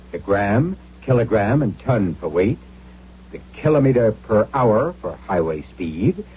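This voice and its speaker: Lively, low-pitched American male announcer. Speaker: public service announcer. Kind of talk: scripted 1970s radio announcement. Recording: dull vintage radio, faint hiss.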